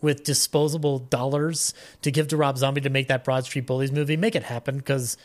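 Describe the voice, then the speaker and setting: A man's voice: medium pitch, level tone, a little fast. A man talking casually as a podcast guest, rambling along with a fan's enthusiasm. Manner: rambling, enthusiastic fan talk. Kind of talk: casual interview chat.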